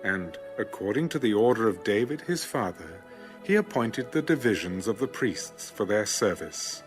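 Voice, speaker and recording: A man narrating scripture from a script in a measured, formal way. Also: on the low side, sing-song, soft background music. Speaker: narrator of an audio Bible.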